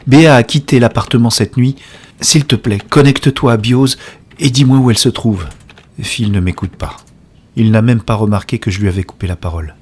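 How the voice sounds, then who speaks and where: Man playing weary male hero, husky, mid-pitched, introspective, blunt, worried, sci-fi audio drama. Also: a little fast, natural voice. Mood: pleading. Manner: introspective asides mixed with blunt, urgent pleading. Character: weary, worried male narrator-hero urgently seeking someone. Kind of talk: first-person science fiction audio drama acting.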